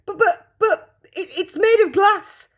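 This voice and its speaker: Storybook: an old grandfather, excitable and fearful, shouting high, voiced by a woman. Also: takes it slowly. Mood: alarmed. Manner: shouting, panicky, high-pitched. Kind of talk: storybook reading aloud.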